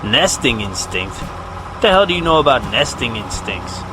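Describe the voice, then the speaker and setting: A man's voice: medium pitch, very animated, moderate pace, over music, close to an everyday voice, low-quality recording. A man acting in an audio drama as a conflicted, earnest fighter uneasy about his future. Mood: reluctant. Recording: clear outdoor engine noise behind.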